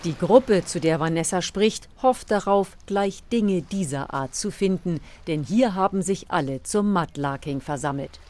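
A female reporter narrates a scripted radio feature. Her voice sits a little low for a woman, in the measured delivery of a prepared report. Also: lively intonation, a little mellow, slight background noise.

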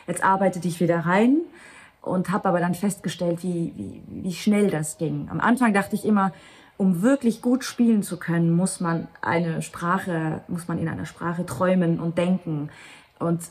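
Reflective Luxembourgish actress, low voice. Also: female voice, steady tone, normal speed, darkish tone. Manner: reflective, with frequent restarts and light laughs. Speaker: actress, interview guest. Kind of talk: candid interview conversation.

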